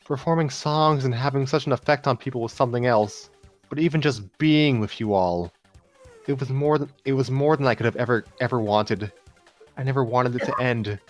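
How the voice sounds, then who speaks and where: Man playing a wistful young woman, halting delivery. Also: medium pitch, soft background music, voice pushed up, lightly acted. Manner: halting, repeats and restarts lines. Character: wistful, self-doubting young woman ex-idol running family shop. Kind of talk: dramatic fan fiction reading.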